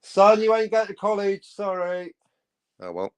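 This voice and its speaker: A man's voice: high-pitched, sing-song, unhurried, clear, resonant tone, no echo. A man bantering on a livestream in a teasing, wry, mock-grumpy way.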